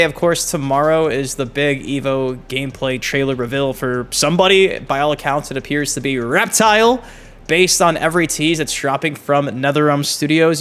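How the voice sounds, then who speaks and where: A man hosting a gaming channel, giving excited casual commentary and swearing freely. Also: on the high side, a little fast. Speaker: gaming YouTube host. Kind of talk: casual commentary on game clips.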